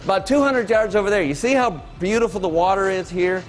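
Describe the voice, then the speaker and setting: A man's voice: high-pitched, fast talker, pitched above the performer's own voice, close to an everyday voice. A man voicing a laid-back young male surfer boat guide with a put-on Hawaiian accent, calling everyone dude. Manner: easygoing, calls everyone dude.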